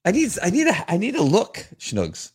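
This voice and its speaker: A man's voice: high voice, wildly swooping pitch, normal speed, breathy edge. A middle-aged man co-hosting a podcast, chatting casually with his wife in a playful, rambling way and laughing often. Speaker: podcast co-host, former police officer. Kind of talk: casual chat with spouse.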